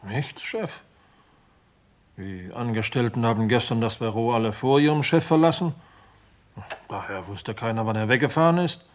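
A dutiful, plodding detective, acted by a man, with an average-pitched voice. He is matter-of-fact and a bit slow to catch on, in old German radio drama style. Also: natural voice. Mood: dutiful reporting. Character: plodding, slightly dim male police detective subordinate. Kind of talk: 1950s-80s German radio crime drama acting.

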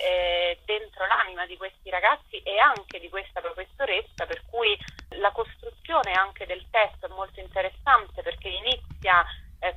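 Female radio interviewee, theatre director, on the phone: raspy, forward tone, searching phrasing. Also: medium pitch, sharp tone, poor audio. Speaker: guest, theatre writer and director.

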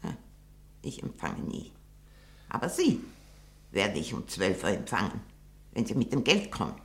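A very old, shrewd spinster in a radio drama. Her voice is low and cracked with heavy creak, and she talks slowly and haltingly. She is haughty, blunt and hungry for money. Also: huge pitch swings, heavily acted. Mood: imperious. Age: older. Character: very old, shrewd, greedy, imperious spinster aunt. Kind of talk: 1960 German radio play acting.

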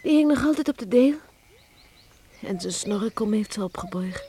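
A woman's voice: medium pitch, animated, normal speed, warm tone, slightly rough. An actress playing a tender, anxious village woman in a Dutch radio play, earnest and emotional. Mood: quiet, sorrowful. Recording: noisy old radio play recording.

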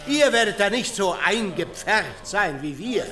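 A pompous, genteel English officer in a radio play. His voice is high for a man, and he declaims slowly in measured, halting phrases. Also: animated, sharp tone, full character voice. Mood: wistful, genial. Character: pompous English officer addressing captive soldiers, wistful, genteel. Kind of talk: radio drama acting.

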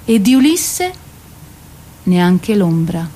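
A woman's voice: lowish voice, sing-song, drawn-out words, dark tone, full character voice. An actress playing a proud, weary queen of Greek myth who waits, in a declamatory, ironic radio drama monologue. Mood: restless, bitter. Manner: declamatory, ironic, lingering on repeated words.

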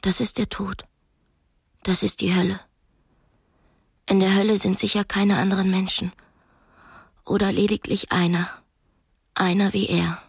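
A young captive woman speaks in an intimate, educated way. Her medium-pitched voice is husky and often breathy, anguished yet defiant, in a very dry studio sound. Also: dry recording, heavily acted. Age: young adult. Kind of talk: radio drama acting, intimate diary monologue and dialogue.

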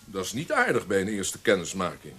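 A man's voice: sits low, huge pitch swings, brisk, fairly bright tone, breathy edge, exaggerated voice. A man in a Dutch radio play voicing a charming, hot-tempered poet and flirt, with a theatrical, teasing, florid way of talking. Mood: flirtatious, teasing.

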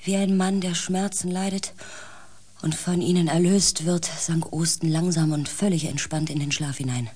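An actor playing a compassionate narrator and protagonist in a science fiction radio play, gentle and reflective, over faint background noise. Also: male voice, fairly high pitch, steady tone, moderate pace, close to an everyday voice. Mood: calm, tender. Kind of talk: radio drama narration and dialogue.

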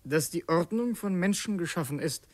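Quietly defiant young man, radio drama, polite and restrained, slightly high pitch, dry studio sound. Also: animated, normal speed, no echo. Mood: polite, probing. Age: young adult. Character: reserved, quietly challenging young man, secret revolutionary. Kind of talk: German radio drama acting.

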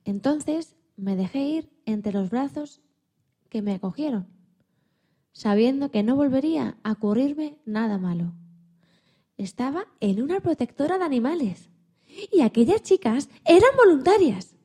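A woman voicing a timid, hopeful young male dog who tells his own story in a children's radio play, expressive and a little halting. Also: high-pitched, slightly rough, heavily acted. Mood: tender, earnest.

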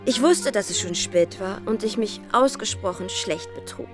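A self-assured young society lady played by a woman in a period radio drama. She talks in a breezy, ironic way at a middling pitch, with faint music behind. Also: expressive, moderate pace, natural voice. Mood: playfully apologetic.

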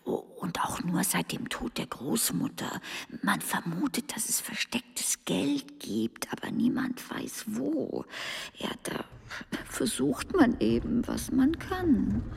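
Guarded wife in hall reverb. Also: female voice, a little high, very animated, slow pace, vocal fry, whispered, full character voice. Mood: confiding, hushed. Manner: formal, guarded, hesitant. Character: discreet, reluctant wife of a police commander. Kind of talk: German radio drama acting. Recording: long hall reverb, music behind.

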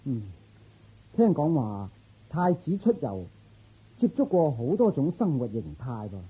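An actor playing a loyal, thoughtful palace guard in a radio drama, earnest and reasoning. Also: male voice, medium pitch, sing-song, a little slow, dark tone, breathy edge, full character voice, rough recording.

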